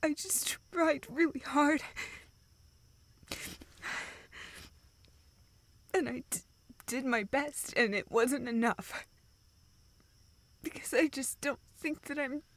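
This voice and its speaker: A woman playing a dejected, self-doubting young woman who confides haltingly in a friend, in an intimate, naturalistic audio roleplay. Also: high voice, fairly bright tone. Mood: tearful, hurt. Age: young adult. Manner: halting, hesitant, self-deprecating.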